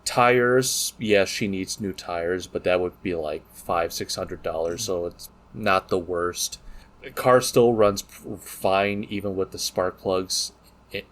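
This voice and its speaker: Low-pitched man in casual, profane banter. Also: slow, fairly bright tone. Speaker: podcast co-host. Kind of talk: casual chat.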